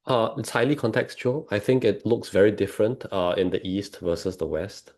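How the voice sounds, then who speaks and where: A man speaks as an interview guest. He explains things in a measured, conversational way, like a coach, checking in with the listener as he goes. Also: fairly low pitch, a little mellow. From Singapore.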